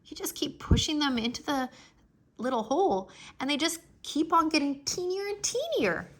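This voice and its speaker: A woman reading a children's storybook aloud as narrator, expressively, with animated, childlike first-person storytelling. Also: sits high, normal speed, slight background noise.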